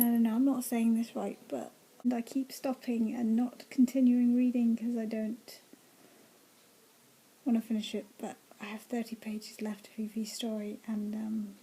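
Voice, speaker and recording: A woman's voice: a little high, mellow, husky, rough recording. A woman giving casual, gushing reactions as she reads through a book, with an enthusiastic way of talking.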